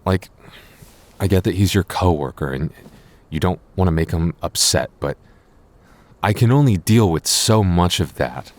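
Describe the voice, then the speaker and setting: A man's voice: low-pitched, animated, unhurried, pauses often, a little mellow. A man playing a jealous, apologetic boyfriend in an audio roleplay, speaking earnestly with hesitant stammers. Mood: agitated, earnest. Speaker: voice actor.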